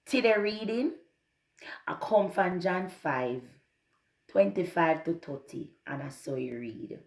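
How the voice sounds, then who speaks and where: A woman with a Jamaican accent presenting and reading scripture for an audience. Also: mid-range voice. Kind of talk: channel greeting and scripture reading in Jamaican Patois. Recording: echoey room sound.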